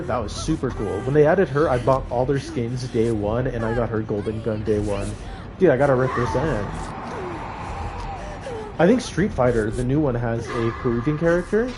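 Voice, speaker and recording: Casual male game streamer over music. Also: mid-range voice. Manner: informal slangy banter, reacting aloud to gameplay. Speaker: video game livestreamer. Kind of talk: casual gaming stream chat with live reactions. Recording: music playing behind the voice.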